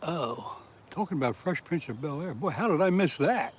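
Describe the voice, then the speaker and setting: A man's voice: fairly high pitch, animated, normal speed, a little hiss or hum. A man from southeast Pennsylvania chatting on a casual fishing livestream, reading viewer comments aloud and laughing at his own jokes. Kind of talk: casual live-stream chat with viewers. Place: outdoors by the water.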